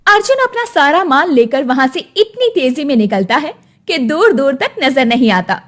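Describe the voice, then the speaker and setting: A woman working as a story narrator, reading a scripted moral tale. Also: high-pitched.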